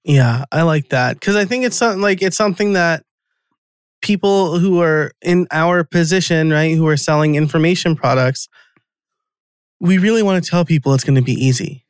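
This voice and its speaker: Casting: clear, somewhat high male host, easygoing interviewer, dry booth sound. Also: moderate pace, no echo. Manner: conversational, frequent "right?" and affirming backchannels. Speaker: podcast host. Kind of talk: casual interview chat.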